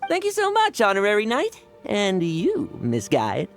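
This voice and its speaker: A woman's voice: medium pitch, wildly swooping pitch, measured pace, soft background music. A woman voicing a friendly, earnest young wanderer returning home. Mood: cheerful. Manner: earnest, cheerful, laughs easily. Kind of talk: video game voice acting.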